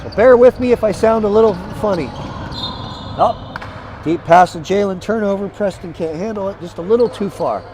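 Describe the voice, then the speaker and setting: Hoarse, high-voiced male basketball commentator. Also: normal speed, background noise. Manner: energetic, chatty, rising to excited calls. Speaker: play-by-play commentator. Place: arena broadcast position.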